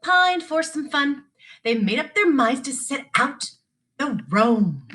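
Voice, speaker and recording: Woman, children's storyteller. High, slow, sing-song delivery with deliberate repetition. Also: big pitch swings, a bit bright. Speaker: teacher reading aloud. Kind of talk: sing-song children's read-along narration.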